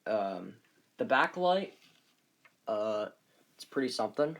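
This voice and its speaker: A man presenting his collection in a casual, unscripted video, speaking haltingly and often trailing off. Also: on the low side, very animated, fairly bright tone. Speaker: collector presenting weather radios. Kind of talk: casual unscripted show-and-tell.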